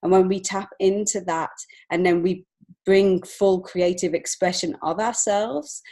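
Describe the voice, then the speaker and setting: English woman in her 40s, lowish voice, chatting thoughtfully about her life on a podcast. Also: fairly even intonation, a little slow, warm tone. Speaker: podcast guest, children's author and illustrator. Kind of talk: personal storytelling in an interview.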